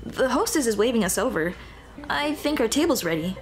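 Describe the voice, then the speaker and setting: A woman's voice: on the high side, animated, brisk. A woman playing a shy, flustered monster girl in an ASMR roleplay, with a nervous, stammering, rambling way of talking. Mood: warm, playful. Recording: music behind the voice.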